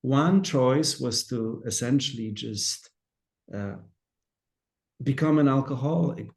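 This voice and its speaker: A man with a Polish accent speaks slowly and thoughtfully, often stopping to restart a phrase. His voice has a gravelly, creaky edge to it. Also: mid-range voice, darkish tone. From Poland. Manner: hesitant, many fillers and restarts. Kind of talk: reflective talk.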